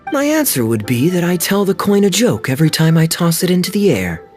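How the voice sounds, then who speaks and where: An actor playing a sly, charming young knight and playful schemer in a video game cutscene, speaking in a smooth, teasing, knowing way. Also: male voice, high-pitched, big pitch swings, a little fast, darkish tone. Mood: amused. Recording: faint game music behind.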